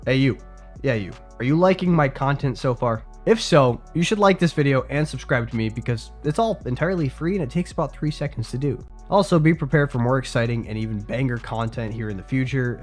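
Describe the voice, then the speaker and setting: A man's voice: mid-range voice, darkish tone. A young man with a congested voice narrating a scripted sports video, with casual asides to his viewers. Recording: faint music behind voice.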